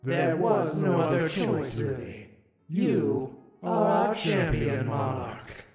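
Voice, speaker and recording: A man voicing a solemn, ancient otherworldly ruler in a visual novel, making grave, deliberate, theatrical pronouncements. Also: on the high side, very animated. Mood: measured, explaining. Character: solemn, ancient otherworldly ruler, calmly justifying terrible deeds. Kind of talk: dramatic visual novel voice acting. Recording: echoing voice under loud music.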